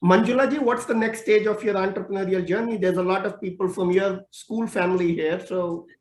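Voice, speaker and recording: A man's voice: sits high, fairly even intonation, fairly quick. A man hosting an interview with an Indian accent, asking brief and polite questions. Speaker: host. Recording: roomy echo.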